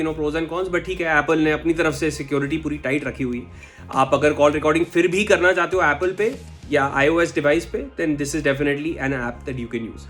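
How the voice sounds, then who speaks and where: A man's voice: fairly high pitch, fairly bright tone. An Indian man with an Indian accent hosting a casual tech explainer, talking conversationally to viewers and slipping between two languages. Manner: conversational, switches between Hindi and English. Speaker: tech show host. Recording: music under the voice. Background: background music.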